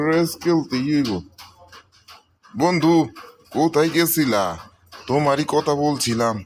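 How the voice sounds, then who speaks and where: A man's voice: medium pitch, lightly acted. A man acts out a playful hare from a cartoon fable, lazy and sure of itself. The voice sits in a middle register with a Bangladeshi accent and colloquial, repetitive phrasing. Faint noise runs behind it. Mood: musing, cheerful. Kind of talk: amateur cartoon story voice acting.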